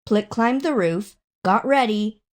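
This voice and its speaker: A woman reading a children's story aloud in an expressive, dramatic way, drawing out words for emphasis. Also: medium pitch. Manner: dramatic, with drawn-out emphasis and acted sound words. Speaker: storybook reader.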